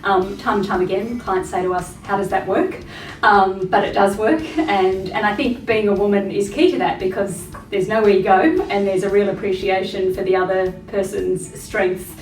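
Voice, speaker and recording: A woman who is a joint managing director, speaking in a measured way with filler ums in an interview. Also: medium pitch, steady tone. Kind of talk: corporate interview testimonial. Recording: roomy, from a distance.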